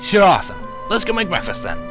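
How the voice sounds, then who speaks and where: A man voicing an eager, friendly little boy dragon with excitable, slangy, chatty delivery in a fan fiction audiobook. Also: sits high, fast, over music, pitched above the performer's own voice. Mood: thrilled.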